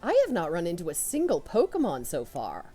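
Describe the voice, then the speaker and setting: A young woman commentating a video game run, loud and excitable, talking to her characters as she plays. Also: on the low side, fairly quick, breathy edge, faint music behind. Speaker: let's player. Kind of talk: casual gameplay commentary.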